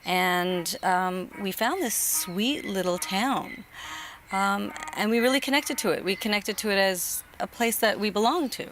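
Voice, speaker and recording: A woman answering interview questions in a warm, earnest way that often repeats phrases, recorded outdoors in a garden. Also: medium pitch, unhurried, faint music behind. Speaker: interviewee, bed and breakfast owner.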